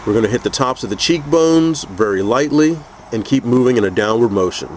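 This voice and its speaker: Guy with a stuffy cold voice, methodical, walking you through painting a figure, medium pitch. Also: fairly quick, slight background noise. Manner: calm, methodical, with frequent restarts. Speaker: custom figure painter teaching. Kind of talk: painting tutorial narration.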